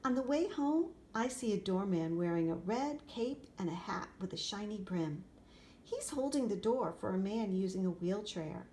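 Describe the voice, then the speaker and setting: A woman's voice: mid-range voice, lively intonation, moderate pace, mellow. Woman reading a storybook, noisy recording. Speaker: storybook reader. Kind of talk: expressive children's picture-book read-aloud. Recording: clear background noise, room ambience.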